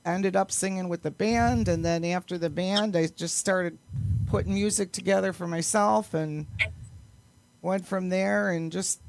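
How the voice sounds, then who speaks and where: A woman's voice: lowish voice, vocal fry. A middle-aged woman chatting casually as an interview guest, rambling along in an enthusiastic way. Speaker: guest, amateur actress and singer.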